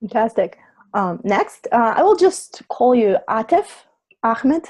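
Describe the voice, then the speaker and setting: A woman's voice: on the high side, sing-song, slow pace, a little mellow. A woman hosting a webinar on a video call, politely calling on each guest. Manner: polite, apologetic about names. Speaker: webinar host.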